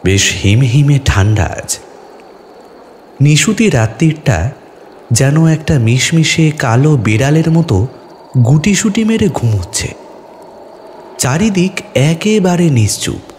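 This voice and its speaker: Expressive, slow-paced male story narrator. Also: on the high side, a little hiss or hum. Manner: deliberate, literary, expressive. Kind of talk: atmospheric scripted first-person story narration.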